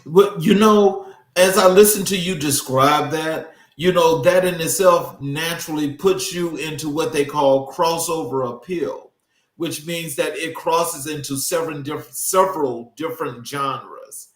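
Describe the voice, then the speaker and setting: A man's voice: fairly high pitch, a little slow. A man hosting a conversational interview, asking questions and offering short affirmations. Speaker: interview host. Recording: roomy, echoey sound.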